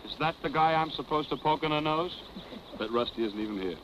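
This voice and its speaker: An American actor playing a flippant, carefree husband with dry, glib delivery in a 1940s film. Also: male voice, on the high side, brisk, heavy background noise, natural voice. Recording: dull 1940s film soundtrack.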